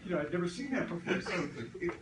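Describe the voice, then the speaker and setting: A man's voice: high voice, expressive, racing, heavy background noise. A man giving a casual, rambling toast at a birthday party, telling a story with hesitations. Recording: echoey room, distant mic, loud crowd.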